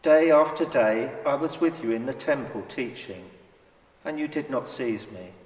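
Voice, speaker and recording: A man playing a calm, resolute religious leader under arrest in a measured, solemn scripture reading. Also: mid-range voice, lightly acted. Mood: reproachful. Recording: echoey church reverb, distant mic.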